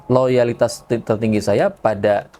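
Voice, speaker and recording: A man's voice: mid-range voice, fairly even intonation, unhurried, dark tone. A man speaking as a religious podcast guest, explaining things conversationally and often checking in with tag questions. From Indonesia.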